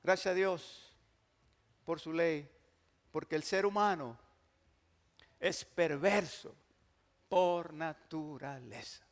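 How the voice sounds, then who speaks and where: A male pastor, preaching emphatically and moving from loud declarations to hushed, drawn-out phrases. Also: a little high. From Mexico. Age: 60s. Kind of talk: sermon. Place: large church hall. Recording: long church hall reverb.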